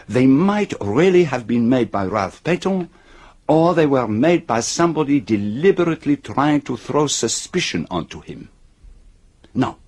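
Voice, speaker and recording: An actor playing a shrewd, methodical detective in a radio drama, speaking deliberately and theatrically as he builds his case point by point. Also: mid-range voice, a little mellow, husky. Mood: methodical, probing. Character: shrewd, methodical male detective unmasking a killer.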